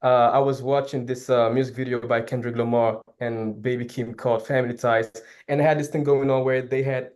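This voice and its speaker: A young man from Senegal, a Wolof speaker, chatting as a filmmaker guest in a relaxed interview. He sounds upbeat and laughs easily, and his sentences drift into fillers and loose restarts. His voice sits at an ordinary middle pitch for a man. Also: brisk, hardly any pauses. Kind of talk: casual interview talk.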